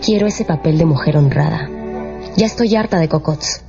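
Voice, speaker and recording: An actress playing a tempestuous, seductive French courtesan in a theatrical, emotional radio drama style. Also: female voice, low-pitched, normal speed, vocal fry. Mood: coolly seductive. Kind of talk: Spanish-language radio drama acting. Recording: dull radio drama with music behind.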